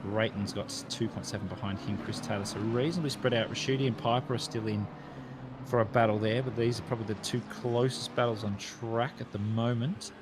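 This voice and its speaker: A man's voice: fairly low pitch, fairly even intonation, brisk, noisy background. A man with an Australian accent calling a sim race, running through the time gaps and lifting with the on-track battles. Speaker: race commentator. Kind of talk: sim racing commentary.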